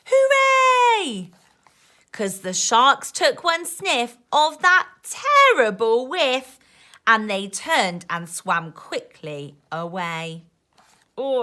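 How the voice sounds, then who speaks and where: Lady teacher doing storytime, sing-song and expressive, really bright voice, swoops up and down a lot. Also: a little high. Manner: animated, emphatic, sing-song rhyming delivery. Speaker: teacher reading a picture book to young children. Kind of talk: expressive children's storytime reading with asides to listeners.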